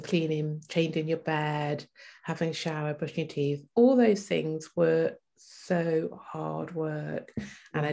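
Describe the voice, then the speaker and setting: A woman in her forties shares a personal story in conversation. Her voice is quite low and often creaky. She speaks reflectively, stopping and restarting as she gathers her thoughts. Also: a little mellow. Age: 40s. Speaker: interview guest.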